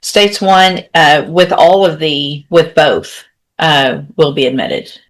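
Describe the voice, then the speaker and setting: A man's voice: a little high, slow, fairly bright tone. A man speaking as a judge in a courtroom, asking short questions and giving rulings.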